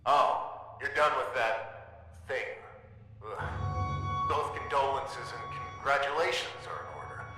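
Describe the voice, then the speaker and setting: An actor playing a weary, condescending superior in a video game, pompous and dryly dismissive. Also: male voice, mid-range voice, fairly even intonation, slow pace, long pauses, sharp tone, soft background music. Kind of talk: video game character acting. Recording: very echoey hall, long reverb.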